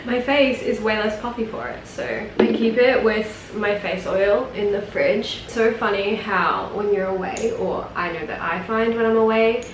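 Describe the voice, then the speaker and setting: A young Australian woman talks casually to camera as a travel vlogger. Her medium-pitched voice has a slight rasp. There is clear background noise around her, picked up on a camera mic. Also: low-quality recording. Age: 20s. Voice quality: slightly raspy. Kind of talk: casual vlog chat to camera. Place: holiday apartment balcony, kitchen and seaside terrace.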